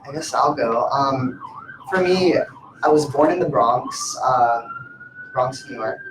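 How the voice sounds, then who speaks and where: A man's voice: mid-range voice, wildly swooping pitch, slow pace. Young man, hesitant, in an echoey room. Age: 20s. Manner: hesitant, many fillers and restarts. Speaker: panelist. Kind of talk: casual panel talk. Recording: echoey room from far off, faint noise.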